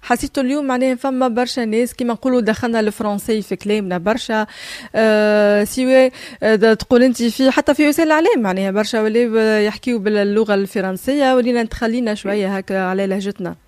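A woman's voice: on the high side, rapid-fire, darkish tone, no room sound. A woman with a Tunisian accent hosting a radio interview, asking chatty questions full of fillers. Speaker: radio host. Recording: dry studio mic.

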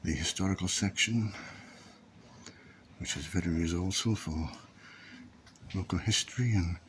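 Low-voiced man giving a walking tour. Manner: unhurried, pauses with "uh" while pointing things out. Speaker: video tour guide. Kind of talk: casual walking tour narration. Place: walking through a library and along a high street. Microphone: handheld phone camera. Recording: noisy phone recording with room ambience.

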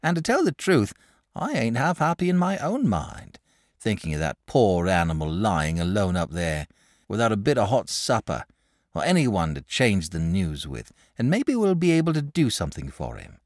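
A sensible, kindly country mother, put on by a male storyteller, talking in homely rustic speech with a lively rise and fall. The sound is close and dry. She is a storybook figure. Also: fairly low pitch, moderate pace, dry recording.

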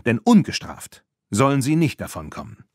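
A man voicing a stern but fair marquis in an audiobook, formal, firm and deliberate. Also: mid-range voice, sing-song, darkish tone, no echo, clean studio sound. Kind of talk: audiobook character reading.

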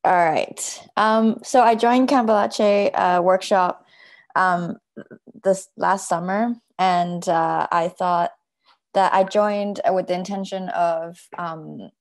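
A woman from Hong Kong speaks in an online panel as a past workshop participant. Her voice is on the low side, and she talks in a measured, reflective way, pausing often with filler sounds. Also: steady tone. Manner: thoughtful, many ums.

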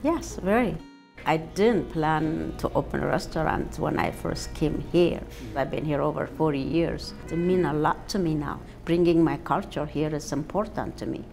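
A woman's voice: low voice, normal speed, vocal fry, soft background music. An older woman from Ethiopia with an Ethiopian accent, a restaurant owner talking earnestly in a sit-down interview in her restaurant dining room. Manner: warm, earnest, with simple non-native phrasing. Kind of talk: casual interview.

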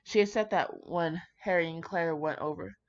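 Casual female reader, fairly low voice, brash and digressive, slightly dull home recording. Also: normal speed. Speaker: fan fiction reader. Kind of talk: casual read-aloud with running commentary.

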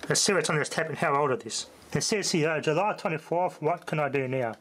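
A man's voice: on the high side, a little fast, noisy background. A man casually talking through a cassette repair at home, thinking aloud with fillers. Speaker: vintage tape hobbyist. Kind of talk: casual hands-on commentary. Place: home workshop indoors.

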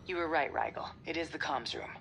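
A terse, low-voiced woman covert operative. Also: a little fast, creaky, heavy background noise. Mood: focused. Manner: terse, dry, controlled. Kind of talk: video game cutscene acting.

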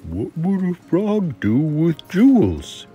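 A man voicing a timid, lonely storybook frog, scratchy and halting, earnest in his pleading. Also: on the high side, wildly swooping pitch, warm tone, soft background music, voice pushed up, exaggerated voice.

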